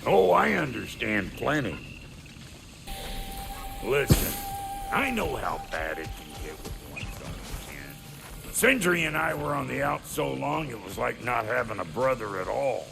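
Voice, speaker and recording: An actor playing a crude, gruff, wisecracking dwarf smith in a video game, with a gravelly, folksy, slangy drawl. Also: male voice, medium pitch, sing-song, unhurried, music in the background, full character voice. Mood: earnest, blunt. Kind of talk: video game character acting.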